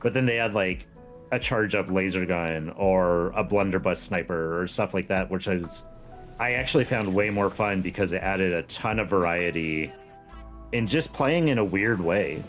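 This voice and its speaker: A man's voice: deep voice, moderate pace, faint music behind. A man talking casually and explaining his views as a guest on a gaming stream. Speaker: game modder and stream guest.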